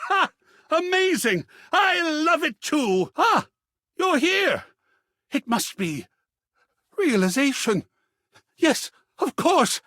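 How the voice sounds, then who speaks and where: A man playing a flamboyant, passionate artist in a video game, speaking in a husky, theatrical and florid way. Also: extremely high pitch, sing-song, slow pace, long pauses, no echo, clean studio sound, heavily acted. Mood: delighted, excited. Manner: theatrical, florid, effusive, swooping between hush and cries. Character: flamboyant, passionate artist, an art object come to life. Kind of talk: video game character acting.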